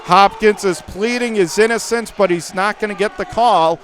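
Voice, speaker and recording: A man with an American accent and a fairly high voice commentates on a basketball game. He delivers clipped, radio-style play-by-play that lifts in excitement as the plays develop. He is speaking from an indoor school gym. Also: moderate pace, a faint crowd. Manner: rattles off clipped phrases, rising to excited calls on plays.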